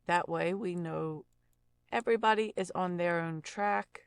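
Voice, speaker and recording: Female tutorial host, informal and explanatory, lowish gravelly-edged voice, relaxed thinking-aloud delivery. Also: unhurried, dry recording. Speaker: voice actor giving an audio editing tutorial. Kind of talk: casual screen-recorded software tutorial.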